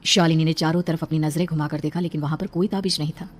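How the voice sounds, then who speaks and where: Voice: mid-range voice, fairly even intonation, fast talker. A narrator reading a scripted horror story in a dramatic storytelling style.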